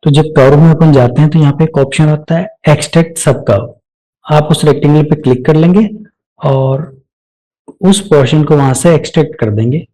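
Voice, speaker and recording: A man giving a step-by-step software tutorial off the cuff, mixing English technical terms into his speech. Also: fairly high pitch, normal speed, low-quality recording. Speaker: software instructor.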